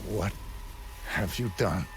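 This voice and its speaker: An actor playing a cold, stoic assassin in a video game, speaking in clipped, restrained, grave phrases. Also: male voice, low voice, takes it slowly, over loud music. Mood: strained, subdued.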